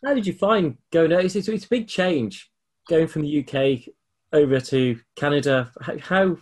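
A man's voice: on the high side, moderate pace, a little mellow, slight background noise. A man interviewing a guest, asking questions hesitantly and repeating words as he goes. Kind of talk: conversational interview.

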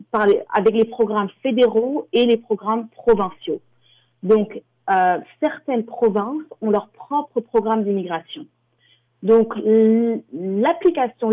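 A woman from France, an immigration lawyer, explaining things as a radio guest with many hesitations. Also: on the high side. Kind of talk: interview answers. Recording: tinny phone line.

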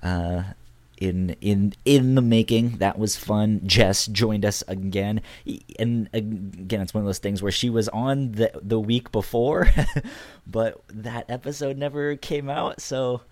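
Male podcast host, lowish raspy voice, easygoing chat, dry recording. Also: a little slow, a little mellow, no echo. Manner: easygoing, short reactions and laughs. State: raspy from being sick. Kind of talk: casual chat.

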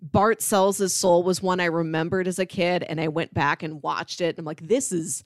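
Female podcast co-host, slightly low register, articulate and keen, conversational, dry close sound. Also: steady tone, normal speed, no echo. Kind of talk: casual chat about sitcoms.

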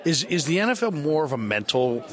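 A man's voice: on the high side, big pitch swings, brisk. An American man working as a sports reporter, asking questions in a conversational locker-room interview. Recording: background chatter of busy locker room. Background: crowd chatter.

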